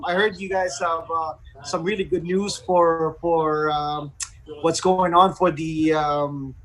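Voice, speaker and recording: A man with a distinctly high-pitched voice hosts an online radio show. He talks casually, welcoming guests and putting questions to them as an interviewer. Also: fairly bright tone, poor audio.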